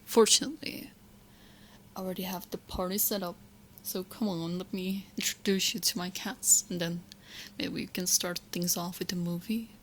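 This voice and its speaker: A woman playing a sweet, clingy, lovestruck young witch in a soft, intimate ASMR roleplay, speaking in hesitant, doting phrases. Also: on the low side, fairly even intonation, normal speed, slight background noise, natural voice, low-quality recording. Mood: overjoyed, adoring.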